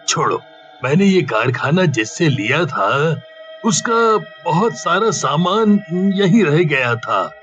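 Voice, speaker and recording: A man playing an obliging factory owner in a cartoon story, speaking in an unhurried, accommodating manner. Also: a little high, a bit bright, heavily acted. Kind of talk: Hindi cartoon voice acting. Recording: dull lo-fi track with music.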